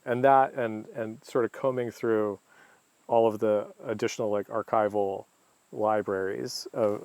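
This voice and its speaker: A man with a fairly low voice speaks as a documentary producer, slowly choosing his words. His voice is close and dry, with crickets faintly behind him. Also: a little mellow, no echo. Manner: measured, pausing to find words. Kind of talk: interview answers.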